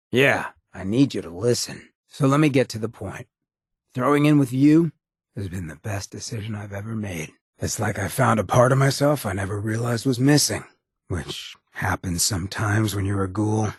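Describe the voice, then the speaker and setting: Very raspy, roguish ghoul. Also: male voice, fairly low pitch, dry recording, clean studio sound. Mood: warm, candid. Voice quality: husky, gravelly rasp. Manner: streetwise slangy drawl with swearing. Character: raspy, roguish ghoul mayor, streetwise, blunt, loyal. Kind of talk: video game companion dialogue.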